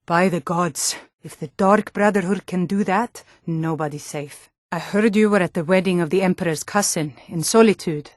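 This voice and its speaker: A woman playing a tough, suspicious female Nord city guard in a fantasy video game, with a blunt, gruff, challenging and wry manner. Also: on the low side, normal speed, few pauses, no echo, studio quality, natural voice. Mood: somber, shaken.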